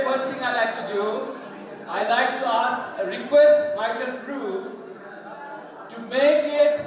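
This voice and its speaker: Emphatic man on a far-off PA in a gym. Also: extremely high pitch. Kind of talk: public speech at an event. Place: large school gym. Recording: echoey hall from far off with crowd.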